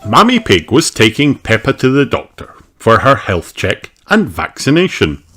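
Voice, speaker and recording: A man narrating a children's storybook in an expressive, deliberate way, with music behind him. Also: medium pitch.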